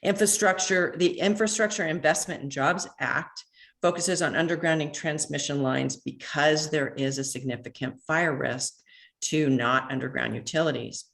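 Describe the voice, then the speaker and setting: A woman, president of a neighborhood association, reading an earnest public comment over a phone line, measured with a few halting restarts. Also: low voice, creaky, fairly bright tone. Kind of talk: prepared public comment read aloud. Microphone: phone call into online meeting.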